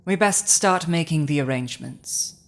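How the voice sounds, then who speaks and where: A woman playing a proud, dominant dragon ruler in an intimate audio roleplay, with a languid, haughty, theatrical delivery and dramatic pauses. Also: low-pitched. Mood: proud, playful.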